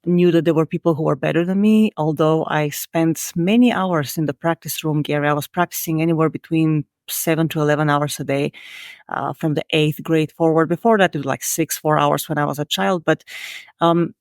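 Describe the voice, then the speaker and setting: A woman's voice: sits low, steady tone, brisk, darkish tone, no room sound, studio quality. A woman in her forties with a Bulgarian accent, answering interview questions in a frank, earnest, self-critical way. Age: 40s. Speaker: podcast guest.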